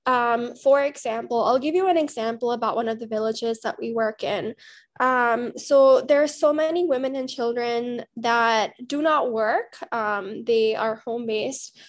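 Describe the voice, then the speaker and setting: Earnest young woman from Pakistan. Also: fairly high pitch. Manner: earnest, many "um" pauses. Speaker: guest. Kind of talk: casual interview answers.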